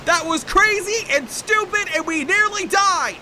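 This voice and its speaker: A woman voicing a small, excitable boy ice robot in a cartoonish comic dub, melodramatic and exclamatory. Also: extremely high pitch, unhurried, slight background noise, exaggerated voice. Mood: alarmed.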